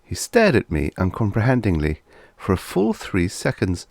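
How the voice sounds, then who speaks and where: A man's voice: lowish voice, wildly swooping pitch, moderate pace, vocal fry, a little mellow, no room sound. A man reading vintage fiction aloud as an audiobook narrator, in a scripted storytelling style.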